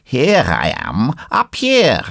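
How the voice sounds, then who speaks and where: A woman's voice: rumbling low, wildly swooping pitch, slow, vocal fry, no echo, clean studio sound, full character voice. A woman voicing a little talking cricket in a storybook, a stern but forgiving moralist with a small voice. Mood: reproachful.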